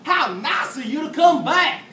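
A young man playing a gloating, easily fooled farmer in children's readers theatre, hammy and drawn-out. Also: very high voice, expressive, sharp tone, exaggerated voice. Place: classroom, recorded by a far camera. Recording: echoey classroom from far off, noisy.

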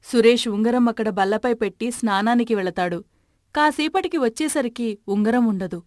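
Slightly high-voiced woman narrator. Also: normal speed, a little mellow, dry recording, clean studio sound. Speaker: story narrator. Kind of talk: scripted narration of a moral story.

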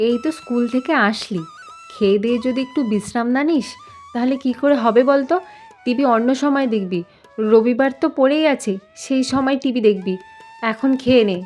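A woman's voice: a little high, a little fast, darkish tone, close to an everyday voice. A woman playing a caring but firm mother in a cartoon, coaxing and chiding affectionately. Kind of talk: cartoon voice acting. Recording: music under the voice.

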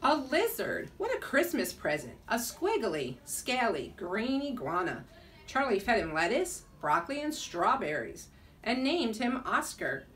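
Animated woman reading aloud, distant mic. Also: a little high, a little slow, a little hiss or hum. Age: middle-aged. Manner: expressive, animated storytelling. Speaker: second grade teacher reading a bedtime story. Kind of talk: children's storybook read-aloud. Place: small school room, indoors. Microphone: camera a few feet away.

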